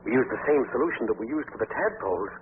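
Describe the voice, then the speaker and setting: An actor playing an obsessed, arrogant doctor of science, pompous and intense, in 1940s radio drama style. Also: male voice, medium pitch, animated, quick pace, close to an everyday voice. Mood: condescending. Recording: tinny, hissy 1940s radio recording.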